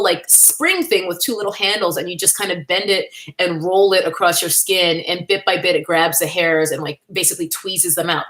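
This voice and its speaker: A woman's voice: medium pitch, a bit bright. A young woman talks to her viewers on a livestream from home, in an easy and candid way. She reads out the chat and answers it, and she laughs often between her thoughts. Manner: chatty and candid, with frequent laughs and playful asides. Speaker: livestream host. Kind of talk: casual livestream chat, reading the chat.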